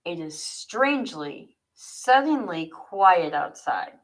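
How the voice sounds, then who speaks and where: A woman's voice: on the low side, big pitch swings, slow, poor audio. A woman author hosting a livestream, reading her own story aloud and chatting to viewers.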